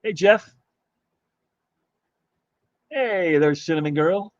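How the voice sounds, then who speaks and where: A man hosting a live stream, chatting casually and greeting viewers in a rambling, halting way while his voice is going hoarse. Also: sits high, no room sound, dull audio. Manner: rambling, halting, with many fillers and restarts. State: losing his voice. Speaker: livestream host.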